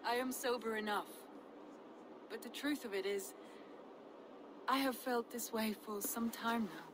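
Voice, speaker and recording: An actress playing an earnest, duty-bound Norse noblewoman in a dramatic game cutscene, speaking in a heartfelt, measured way. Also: fairly high pitch, noisy background. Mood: tender.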